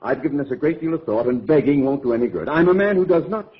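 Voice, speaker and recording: A man's voice: mid-range voice, expressive, fast talker, breathy edge. An actor playing a stubborn, formal businessman boss who blusters in an old radio comedy. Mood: firm. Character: stubborn, exasperated businessman sponsor, male boss. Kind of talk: 1940s radio comedy acting. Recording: muffled old radio broadcast with audience.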